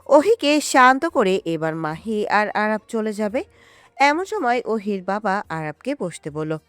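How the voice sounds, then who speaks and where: A woman's voice: medium pitch, big pitch swings, measured pace, a bit bright, smooth, no room sound. A woman reading a romantic story as a narrator, in a dramatic scripted style. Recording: music behind the voice. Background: background music.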